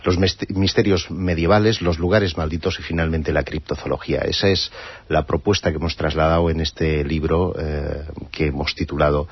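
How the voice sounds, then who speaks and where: Guest author, male, Catalan native, very deep and husky, measured. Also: steady tone. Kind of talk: radio interview. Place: radio studio. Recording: dry lo-fi radio broadcast.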